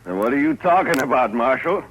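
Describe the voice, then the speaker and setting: An American actor playing an arrogant, threatening rich townsman in a 1950s radio western, gruff, curt and imperious. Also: medium pitch, lively intonation, fairly quick, fairly bright tone, close to an everyday voice. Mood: angry. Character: arrogant, threatening rich frontier town big man. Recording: noisy old 1950s radio recording.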